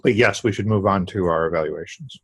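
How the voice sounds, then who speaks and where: A man's voice: sits low, fairly quick, husky. A man running an online club meeting over a video call, often repeating words as he goes. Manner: stammering repeats. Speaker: meeting officer.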